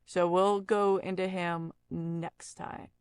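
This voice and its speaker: A woman hosting an informal audio software tutorial, explaining step by step in a conversational, unhurried way. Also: lowish voice, flat delivery, very noisy. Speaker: audio effects tutorial host, voice-over artist.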